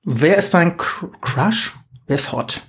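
A man's voice: fairly high pitch, animated, slow pace, a bit bright. A man in his forties hosts a loose conversation and asks his guest questions. He teases her in a playful, chatty way and keeps the talk moving. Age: 40s. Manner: teasing, chatty interviewer. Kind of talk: casual chat.

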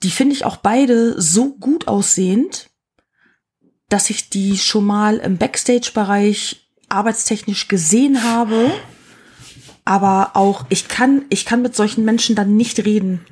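A husky woman in her forties talks in a loose, casual chat. She is frank and blunt and drops slang freely. Her voice has a slightly rough, breathy edge. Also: mid-range voice, unhurried, darkish tone.

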